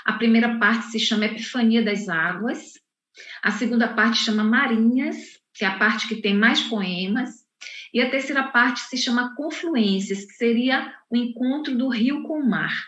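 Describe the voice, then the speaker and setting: A woman's voice: on the high side, a bit bright. A woman from Salvador, a poet and professor, speaking unhurriedly at an online book launch and often restarting her sentences. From Salvador, Bahia, Brazil. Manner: unhurried, with frequent restarts and fillers. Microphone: video call. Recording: muffled, roomy video call audio.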